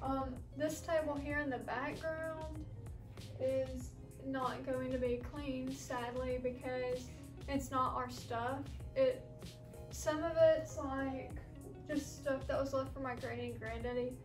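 A woman's voice: sits high, steady tone, slow pace, distant mic, music in the background. A young woman, chatting casually through a home cleaning vlog with lots of ums. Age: young adult. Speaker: vlogger. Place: small house rooms, indoors. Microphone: camera on a stand, from across the room.

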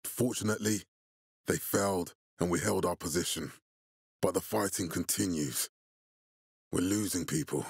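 A man voicing a grave, calculating militia commander in a video game, with a husky, authoritative and deliberate delivery. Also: low-pitched, no room sound, clean studio sound, exaggerated voice. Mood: grave, measured. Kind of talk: video game character dialogue.

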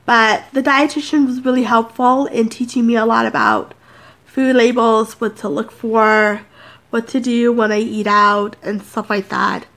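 A woman's voice: on the high side, fairly even intonation, measured pace, fairly bright tone. A woman in her thirties talking casually to camera in a home office, rambling and chatty, sounding congested and a bit nasal. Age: 30s. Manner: rambling, chatty, many fillers. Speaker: vlogger. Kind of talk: casual sit-down chat to camera.

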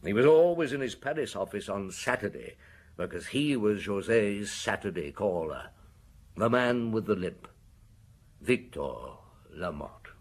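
A man's voice: lowish voice, animated, unhurried. An actor in a radio drama playing a shrewd, weary, stern police chief, blunt and scornful as he interrogates. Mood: measured, revealing. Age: middle-aged.

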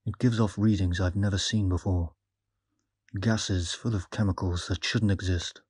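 A man acts as a grave investigator of strange mysteries in an audio drama. His low voice has a rough husk, recorded dry and close, and he speaks as one giving warnings. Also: fairly even intonation, moderate pace, a little mellow, no echo. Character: grave, cautioning man who studies the town's mysteries.

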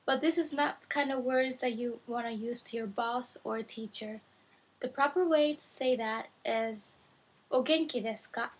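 Young woman, native Japanese speaker, vlog teacher; unhurried, careful delivery that repeats every phrase, bedroom webcam sound. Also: a little high, husky. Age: young adult. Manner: slow, careful, repeats each phrase twice. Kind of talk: casual video lesson. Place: bedroom, indoors. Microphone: webcam at desk.

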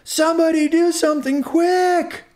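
A man voicing a frantic, excitable talking earbud in a children's storybook, shrieking in a panicky way. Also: extremely high pitch, a little slow, pitched above the performer's own voice.

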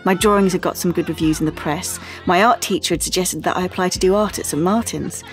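A rather low-voiced teenage girl played by a woman, sensitive and halting, confides her story in an audio play, with music faintly behind her. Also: lightly acted. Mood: wistful. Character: sensitive teenage art student, shaken and confiding. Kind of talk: dramatic monologue in audio play.

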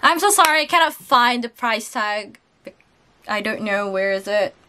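A young woman with a cold, chatting excitedly as she unboxes and shows clothes in a haul video. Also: on the high side, normal speed, smooth. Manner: chatty and excitable, chuckles at prices. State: has a cold. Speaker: channel host unboxing a lucky bag. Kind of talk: casual haul vlog voice-over. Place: indoors at a table.

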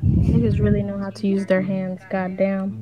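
A woman's voice: medium pitch, completely flat delivery, moderate pace, highs cut off, crowd noise. A woman chatting in casual slang as a caller on a voice chat app.

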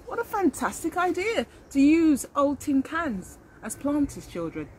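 Older woman, very lively high-pitched storyteller. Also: measured pace. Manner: expressive, explains and asks questions of young listeners. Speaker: early years teacher reading to children. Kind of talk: picture-book storytelling with asides to children. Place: outdoors in a leafy garden. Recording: outdoor garden recording with birdsong and noise.

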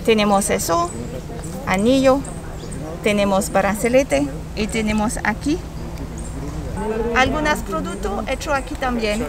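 A woman's voice: a little high, a little slow, pauses often. A woman from France, a native French speaker with a French accent, giving casual sales talk at an outdoor market. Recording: outdoor market chatter behind.

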